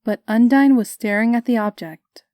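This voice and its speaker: A woman's voice: a little high, normal speed, dark tone, no echo, clean studio sound. A woman reading scripted story narration.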